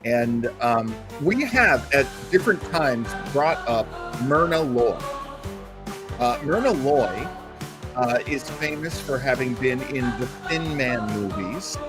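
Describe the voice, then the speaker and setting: A man's voice: medium pitch, expressive, takes it slowly, over music. A man hosting a livestreamed radio-show listening party, chatting casually and enthusiastically with lots of fillers.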